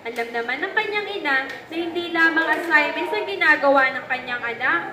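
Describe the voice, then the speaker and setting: A woman's voice: high voice, expressive, brisk, crowd noise. A young woman narrating a puppet show for children, reading a scripted story. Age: young adult. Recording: echoey room with background noise.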